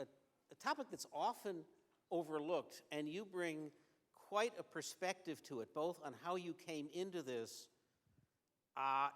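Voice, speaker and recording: A man's voice: on the high side, fairly bright tone, long reverb tail. A man moderating an onstage fireside conversation, chatty and often restarting his sentences. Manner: conversational, repeats words when starting sentences. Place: event venue with audience.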